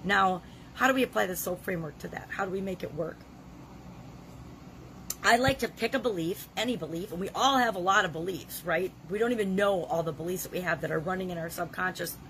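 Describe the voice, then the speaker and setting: A middle-aged woman with a gravelly voice from a cold, leading a self-help challenge in an earnest, conversational way. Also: fairly low pitch, fairly quick, fairly bright tone, slight background noise, rough recording. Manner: earnest, conversational, with frequent restarts. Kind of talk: motivational talk to camera.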